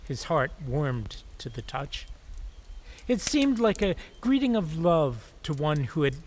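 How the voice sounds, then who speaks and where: A man narrating a scripted story in a measured way, with careful pauses between phrases. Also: a little high, darkish tone.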